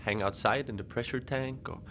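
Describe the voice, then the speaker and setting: A man playing a lonely, gentle Antarctic facility manager, rambling hesitantly and apologetically in a cozy fiction podcast monologue. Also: mid-range voice, fairly even intonation, fairly quick, noisy background, close to an everyday voice. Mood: wistful, friendly. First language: Norwegian. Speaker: podcast narrator.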